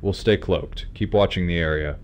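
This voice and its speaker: A man playing a calm, decisive starship captain in a science fiction audio drama, speaking with measured authority. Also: low voice, natural voice. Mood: decisive, serious. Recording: background noise, ship ambience.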